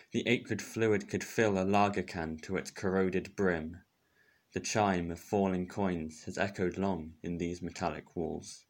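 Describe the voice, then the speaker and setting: A young man introducing and reading his own poem. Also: sits low. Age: young adult. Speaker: poet.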